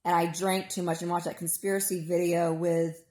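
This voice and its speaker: Hoarse, low-voiced woman in an echoey room. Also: flat delivery, normal speed, darkish tone. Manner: digressive, opinionated, informal. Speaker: vlogger. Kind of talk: rambling personal monologue.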